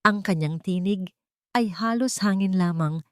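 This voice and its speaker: A woman's voice: medium pitch, measured pace, clear, resonant tone, no room sound, studio quality. A woman reading a scripted dramatic story as a narrator.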